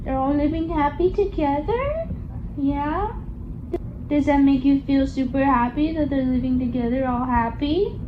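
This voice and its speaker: A woman's voice: sits high, measured pace, lo-fi sound, background noise. A woman reading a picture book aloud to a young child, coaxing along with questions in a sing-song, child-directed way. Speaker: storybook reader for a young child.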